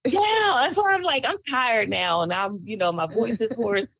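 A woman guest singer, chatting in an interview in a relaxed, storytelling way, laughing often. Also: on the high side, normal speed, vocal fry, breathy edge, no echo, highs cut off. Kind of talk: casual interview chat.

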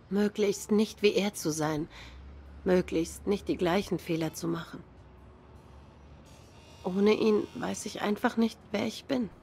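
A woman's voice: lowish voice, a little mellow. An actress playing a grieving young cat woman in a noir game, guarded, bitter and sardonic in a subdued way. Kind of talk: noir game dialogue acting.

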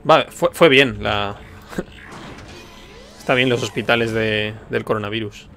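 A man's voice: fairly low pitch, slow, creaky, sharp tone, faint music behind. A man from giving casual gameplay commentary, musing aloud informally. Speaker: video game streamer. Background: video game sound.

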